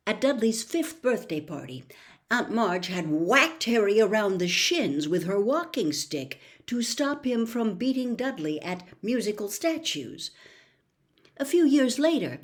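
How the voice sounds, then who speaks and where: A woman reading a story aloud as expressive audiobook narration. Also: mid-range voice. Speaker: audiobook reader.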